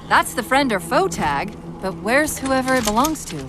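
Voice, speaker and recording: A female AI companion speaks in a fairly high voice. She is calm and analytical, guiding a soldier through a video game, with music clearly behind her. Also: normal speed. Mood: focused.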